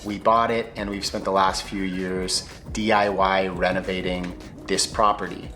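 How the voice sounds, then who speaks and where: A young American man narrating his own vlog in a clear, steady presenter's delivery. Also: sits low, unhurried. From United States. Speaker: YouTuber.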